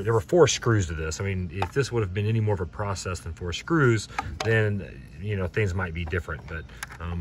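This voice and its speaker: A man giving casual, plain-spoken hands-on commentary, unhurried and halting as he works through a teardown. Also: low-pitched, a bit bright. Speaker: video host, hobby scrapper. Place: outdoors on pavement. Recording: distant mic, outdoor background noise.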